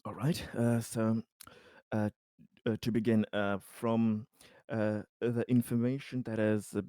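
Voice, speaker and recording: A man playing a polite, deferential investigator in a tabletop roleplaying game, speaking formally and haltingly. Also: fairly low pitch, little pitch movement, dark tone, clear, resonant tone, no echo, studio quality, lightly acted. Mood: polite, hesitant. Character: polite, deferential male investigator questioning an official.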